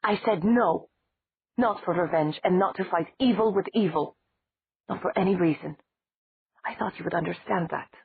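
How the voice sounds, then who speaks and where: A woman's voice: on the low side, normal speed, vocal fry, long pauses, a little hiss or hum, voice pushed up. A woman reading an audiobook, voicing a brooding young male vampire who talks in a cold, clipped, scornful way. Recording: dry, tinny phone-like sound.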